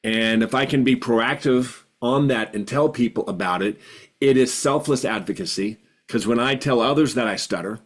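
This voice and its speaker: A man's voice: mid-range voice, normal speed. A man in his fifties who stutters, sharing earnestly and reflectively in a group video call, repeating words for emphasis. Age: 50s. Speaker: support group participant.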